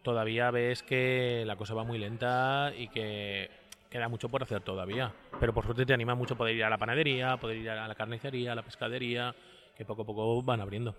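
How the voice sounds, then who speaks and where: Fast-talking man from being interviewed. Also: mid-range voice, fairly even intonation, fairly bright tone. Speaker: bookshop owner being interviewed. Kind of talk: conversational interview answers.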